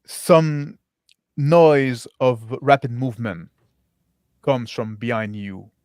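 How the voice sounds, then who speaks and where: A man improvising narration as game master of a tabletop roleplaying game, in a halting, repetitive way. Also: mid-range voice, a little mellow, dry recording, studio quality.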